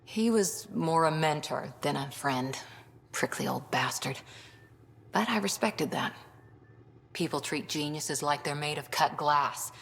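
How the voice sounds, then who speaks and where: A woman's voice: deep voice, animated, unhurried, gravelly, fairly bright tone, slight background noise, full character voice. An actress playing a tough, blunt ex-commando woman in a video game, with a wry manner. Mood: guarded, reflective. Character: tough, blunt female human ex-commando soldier. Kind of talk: video game cutscene acting. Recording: long hall reverb.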